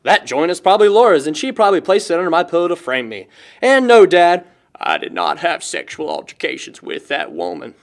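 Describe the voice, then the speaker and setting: Goofy young man played by an actor, high, lively. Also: a little fast, creaky, heavily acted. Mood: needling. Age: young adult. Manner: playful, joking, needling. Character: goofy, teasing young man, carefree party-throwing best friend. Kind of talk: naturalistic amateur film dialogue.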